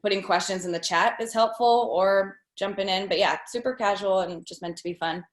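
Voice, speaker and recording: A woman's voice: medium pitch, a little fast. Casual woman host in an echoey room. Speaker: event host. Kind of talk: casual chat. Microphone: video call. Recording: roomy, echoing video call.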